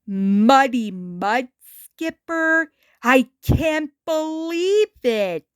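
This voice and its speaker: Woman as a slow, gushing, naive cartoon cat. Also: high voice, lively intonation, clean tone, no room sound, studio quality, full character voice. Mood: starstruck glee. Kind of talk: character voice in storybook reading.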